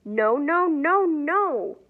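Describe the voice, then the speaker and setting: Woman playing storybook mother, theatrical, raised pitch, loud exclamations, narrow phone-like sound. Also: expressive, very slow, exaggerated voice. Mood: panicked refusal. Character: dramatic, protesting grown-up mother in a storybook.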